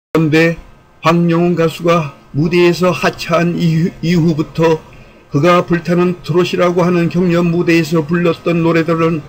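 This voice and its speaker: A man narrates a prepared commentary in a somewhat high voice. His intonation stays very flat and even. The phrasing is formal and measured throughout. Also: poor audio. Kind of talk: scripted narration of a fan commentary.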